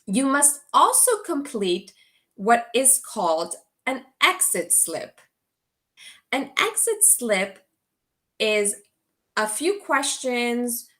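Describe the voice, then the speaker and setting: Slow, careful woman teaching English online. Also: on the high side, a bit bright. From Guatemala. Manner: slow, deliberate delivery for language learners. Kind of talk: scripted course orientation.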